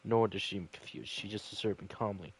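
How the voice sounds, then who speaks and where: A man reading a visual novel aloud as dramatic narration, halting now and then over the text. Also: lowish voice, slightly rough, dry recording. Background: game music.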